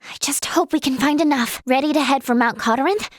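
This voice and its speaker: A woman's voice: high voice, fairly quick, no room sound, studio quality, lightly acted. A woman playing a sweet, timid, earnest teenage girl healer in a video game, with a light, girlish voice and a polite, hesitant way of talking. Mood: hopeful, nervous. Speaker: voice actress. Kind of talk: video game character voice lines.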